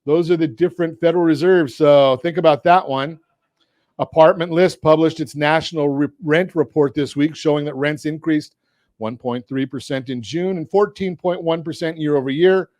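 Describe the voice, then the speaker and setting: Male radio host, higher pitch, dry close studio sound, energetic and jokey. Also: moderate pace, dry recording. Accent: Southern Californian. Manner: energetic, jokey, asks leading questions. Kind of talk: talk radio with a sponsor read. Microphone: studio mic.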